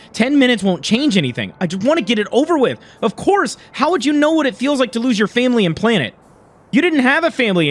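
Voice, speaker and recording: A man playing a bitter, insecure young man in a dramatic story, speaking with intense emotion. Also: high-pitched. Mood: indignant. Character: bitter, insecure young human man, angry and self-loathing.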